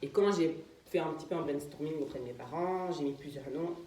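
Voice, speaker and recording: Low-voiced woman hairdresser in echoey salon. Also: fairly quick, slight background noise. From eastern Congo. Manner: lively, laughs often, explains steps. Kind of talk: casual chat while working. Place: hair salon, indoors.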